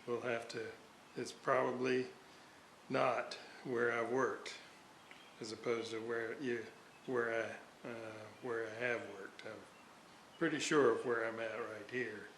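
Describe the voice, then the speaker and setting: Slow-talking man in an echoey room. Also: mid-range voice, a bit bright. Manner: halting, thinking aloud. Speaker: hobbyist radio restorer narrating his repair. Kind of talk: unscripted workbench walkthrough. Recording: roomy echo, background noise, uneven level.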